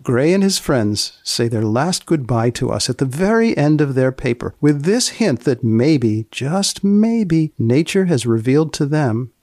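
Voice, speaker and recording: A male podcast host with a creaky, medium-pitched voice in a very dry recording. He is deadpan, alternating scripted narration with short, probing questions. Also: a little slow, hardly any pauses, dry recording.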